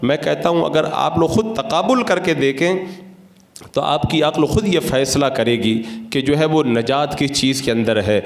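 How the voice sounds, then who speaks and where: Echoey higher-pitched Indian male preacher. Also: normal speed, creaky. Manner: didactic, argumentative, rhetorical questions. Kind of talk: Friday sermon in a mosque. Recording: echoey, reverberant room sound.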